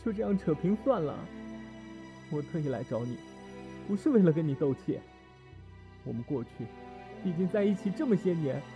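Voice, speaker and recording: A man's voice: on the high side, animated, moderate pace, long pauses, over music. A man playing a proud, wounded ex-boyfriend in an audio drama, emotional and accusing. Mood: pleading.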